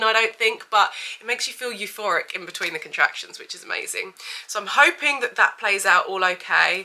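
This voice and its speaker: A woman's voice: mid-range voice, sharp tone. A woman in her thirties with a cold and a blocked-up, sniffly nose, chatting candidly to camera as a vlogger. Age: 30s. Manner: chatty and candid, with frequent fillers. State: has a cold. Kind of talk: casual chat to camera.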